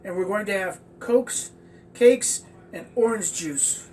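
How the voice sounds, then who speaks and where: A man's voice: high-pitched, takes it slowly, darkish tone. A man voicing an innocent, polite little girl in a storybook fairy tale. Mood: cheerful. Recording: distant mic with faint background noise.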